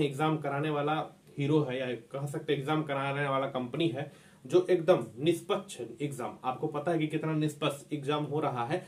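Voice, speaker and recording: A man's voice: on the high side, a little mellow. A man, lecturing informally as an exam coach, emphatically repeating key phrases. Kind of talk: informal whiteboard lecture to camera. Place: small indoor classroom at a whiteboard. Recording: echoey room, distant microphone.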